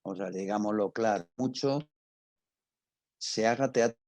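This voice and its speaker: An older man with an accent from Spain, giving an informal talk and answering questions, halting and emphatic, often repeating himself, with a husky voice. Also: fairly low pitch, a little mellow, dry recording. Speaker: radio drama professor and guest speaker.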